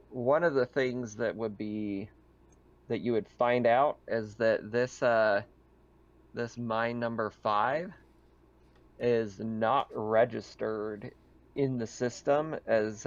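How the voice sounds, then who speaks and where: Male game master, gravelly fry, casual and halting narration, dull voice-chat audio. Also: on the low side, steady tone. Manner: halting, thinking aloud as they go.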